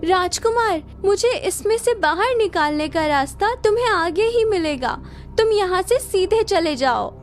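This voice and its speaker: A woman's voice: extremely high pitch, expressive, a little slow, slight background noise. A woman voicing a young, defiant princess in a cartoon, with an earnest, indignant manner. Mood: sorrowful, earnest. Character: young princess, defiant captive flute owner. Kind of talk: Hindi moral-story cartoon acting.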